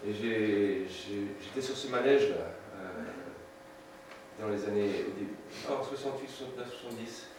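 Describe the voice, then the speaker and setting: Man in his 60s, from France, halting speech full of fillers, very echoey room, heard from a distance. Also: on the low side, a little mellow, a little hiss or hum. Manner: hesitant, many fillers and restarts, chuckling. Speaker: photographer giving a talk. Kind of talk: informal presentation with slideshow.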